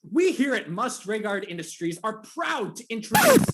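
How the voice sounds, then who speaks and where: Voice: medium pitch, huge pitch swings, normal speed, very noisy. An actor playing a smug male billionaire in a parody ad, with a chummy, theatrical sales manner. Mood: smug, salesy. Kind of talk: parody advertisement.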